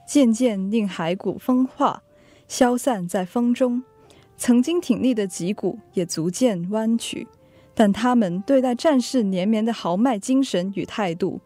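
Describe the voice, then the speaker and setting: A woman's voice: medium pitch, dark tone, close to an everyday voice. A teenage girl playing the solemn narrator of an ancient war tale in a scripted radio drama.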